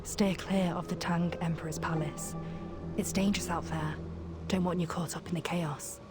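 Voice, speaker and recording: Woman acting a low-voiced, guarded protector. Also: steady tone, moderate pace, over loud music, lightly acted. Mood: concerned, cautioning. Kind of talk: video game cutscene acting.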